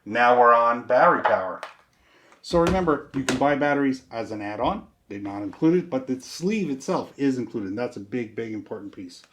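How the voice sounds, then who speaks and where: Man, mid-pitched voice, casual product demo, folksy step-by-step explaining, faint background noise. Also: lively intonation, brisk, hardly any pauses, darkish tone. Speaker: product reviewer and demonstrator.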